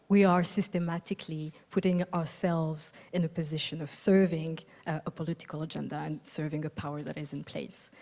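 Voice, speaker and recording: A young woman from Algeria delivers a keynote in a soft, thoughtful way, filling her pauses with ums and uhs. Her voice sits a little low and sounds mellow and warm. A long reverb from a large hall hangs behind her words. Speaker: architect giving a keynote. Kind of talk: conference keynote talk.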